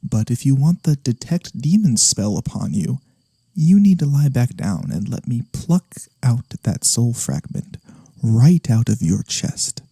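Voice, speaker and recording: A man playing a gentle, courteous incubus demon in a soft-spoken ASMR fantasy roleplay, polite and a little hesitant, with a breathy voice that sometimes drops to a whisper. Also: medium pitch, very animated, a little slow, dry recording, exaggerated voice. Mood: reassuring, playful.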